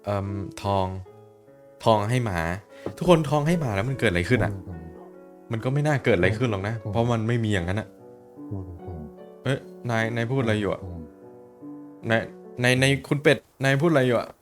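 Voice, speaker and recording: A man hosting a reaction video, talking casually with excitable outbursts and repeated words. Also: medium pitch, moderate pace, long pauses, faint music behind.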